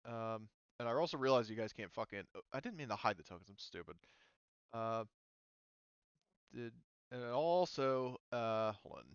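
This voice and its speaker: A man acting as game master, casually refereeing a tabletop game and thinking aloud in a halting way. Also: mid-range voice, animated, clear, resonant tone, dry recording, highs cut off.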